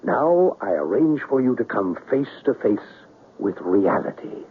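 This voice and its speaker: An actor playing a worldly exiled alien scoundrel in a 1970s radio drama, measured and declamatory, commanding yet fatherly. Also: lowish voice, very animated. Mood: solemn, commanding. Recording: muffled, noisy old radio broadcast.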